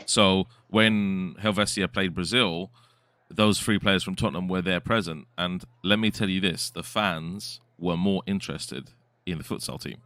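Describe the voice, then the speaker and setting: A man's voice: low-pitched, unhurried, a bit bright, dry recording. A man co-hosting a live futsal stream, chatting casually and reading viewer comments aloud. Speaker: host and co-commentator.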